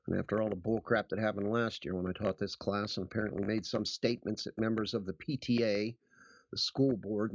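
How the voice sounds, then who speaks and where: A man plays a sarcastic high school teacher. He instructs emphatically, his pitch swinging widely, in a very dry studio sound suited to audio drama. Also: breathy edge, dry recording, poor audio. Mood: sardonic. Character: sardonic American high school history teacher, adult man.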